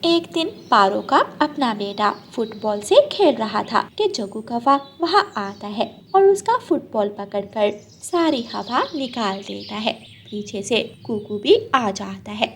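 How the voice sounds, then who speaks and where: A woman's voice: on the high side, lively intonation, measured pace, hardly any pauses, slight background noise. A woman narrating a scripted children's story as the storyteller.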